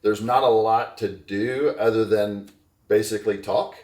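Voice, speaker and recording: A man's voice: lowish voice, fairly even intonation, measured pace, a little mellow, recorded from a distance. A middle-aged man hosts a casual podcast interview, talking eagerly and rambling through his points with lots of fillers. He sits back from the mic, and the sound is roomy and echoey. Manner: enthusiastic, rambling, many fillers. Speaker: podcast host.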